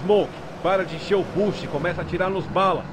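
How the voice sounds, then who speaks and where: A man's voice: high voice, steady tone, fast talker, very noisy, close to an everyday voice. A man with a Brazilian accent playing a grumbling, foul-mouthed gangster in a game fan dub. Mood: agitated.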